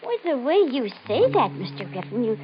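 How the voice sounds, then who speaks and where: An actress playing an evasive, scheming woman with a halting, dramatic delivery in a 1950s radio mystery. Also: fairly high pitch, huge pitch swings. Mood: defensive. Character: scheming, evasive married woman, intense and obsessed. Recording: muffled, noisy old 1950 radio recording.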